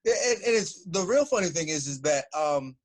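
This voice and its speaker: Guy in his 40s chatting easy, laughs a lot, voice a bit high. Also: normal speed. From United States. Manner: relaxed storyteller, laughs often. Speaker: interview guest, former fighter. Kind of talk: casual interview conversation.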